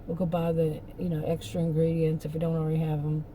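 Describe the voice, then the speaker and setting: Middle-aged woman vlogger, hoarse, dark low voice, chatty and rambling. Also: monotone, fast talker, slight background noise. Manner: rambling, venting, with many restarts. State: hoarse, losing her voice. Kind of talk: casual rambling chat.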